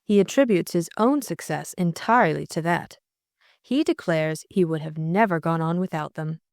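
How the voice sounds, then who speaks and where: Woman playing a wistful, low-voiced married lady. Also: moderate pace, no room sound, studio quality, natural voice. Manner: sighing, plaintive. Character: wistful, meek upper-class married lady.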